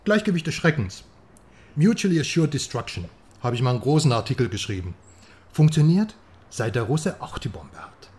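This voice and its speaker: A man's voice: medium pitch, sing-song, moderate pace, natural voice. A man playing a cocky, mocking journalist. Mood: boozy musing. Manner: teasing, sarcastic, jumps between loud and quiet. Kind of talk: radio drama acting.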